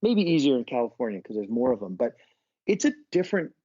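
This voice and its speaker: Chatty American professor, dull lo-fi sound. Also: male voice, medium pitch, big pitch swings, quick pace, dark tone, no echo. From United States. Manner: thoughtful, restarts often, many fillers. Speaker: business school professor. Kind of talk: casual podcast interview.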